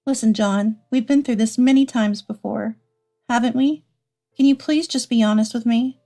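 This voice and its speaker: A woman's voice: a little high, moderate pace, creaky, dark tone. A woman voicing a hurt, protective wife and mother in a dramatized story. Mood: hurt, confronting. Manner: earnest, pleading.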